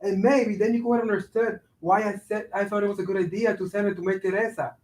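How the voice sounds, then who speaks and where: With room echo around him, an actor plays a hurt and resentful brother in a stage drama. His high male voice is passionate and accusing, picked up from a distance. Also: steady tone, fast talker, darkish tone, close to an everyday voice. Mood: defensive. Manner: accusing, repetitive, heated. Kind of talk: staged reading of a play. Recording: roomy echo, distant microphone.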